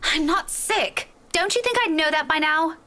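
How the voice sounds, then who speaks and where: Bright, high-voiced earnest young woman. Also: normal speed. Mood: defensive. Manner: insistent, earnest. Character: earnest, exasperated young woman among quarrelling friends. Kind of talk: visual novel voice acting.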